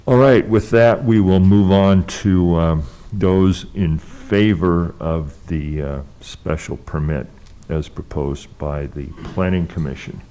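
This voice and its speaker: A man's voice: low-pitched, fairly even intonation, slow pace, mellow. A man chairing a county board meeting, formal and courteous as he moves the agenda along. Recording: meeting broadcast with faint room noise.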